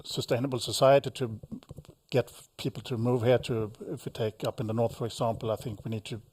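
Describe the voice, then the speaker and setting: A man speaking as a panelist at a seminar, halting with frequent fillers and repeated words. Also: medium pitch, fairly even intonation, darkish tone, husky. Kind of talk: panel discussion.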